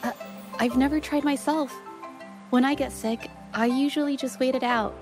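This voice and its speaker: Actress doing timid young artist girl from a video game, highish voice, earnest n unsure of herself, hesitant, music playing behind. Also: fairly even intonation, moderate pace, lightly acted. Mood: shy, hesitant. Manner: hesitant, apologetic, softly earnest. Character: shy, earnest, self-doubting young woman painter. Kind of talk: dramatic game voice acting.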